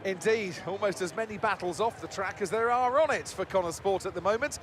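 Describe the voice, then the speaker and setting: A man's voice: high-pitched, a little fast, a crowd in the background. A man giving polished, upbeat race broadcast commentary in an announcer's manner. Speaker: sports commentator.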